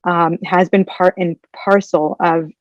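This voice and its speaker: Young woman, punjabi first language, measured and a bit hesitant, lowish voice, muffled dry audio. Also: steady tone, dry recording. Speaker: guest, PhD candidate. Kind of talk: conference interview answers. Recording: dull, dry lo-fi sound.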